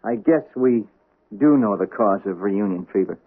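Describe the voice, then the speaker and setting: A nervous, awkward young husband who stammers and trails off. His voice is a little husky. It sounds tinny, like an old radio broadcast. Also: lowish voice, expressive, moderate pace. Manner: hesitant, stammering.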